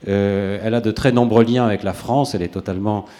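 A man giving a formal introduction of a guest lecturer, measured and full of hesitations. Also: lowish voice, fairly even intonation, warm tone. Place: lecture hall.

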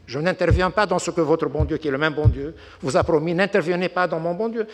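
A man's voice: a little high, fast. Older man in a reverberant hall. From Lebanon. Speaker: diplomat giving a talk. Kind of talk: unscripted geopolitical lecture with anecdotes.

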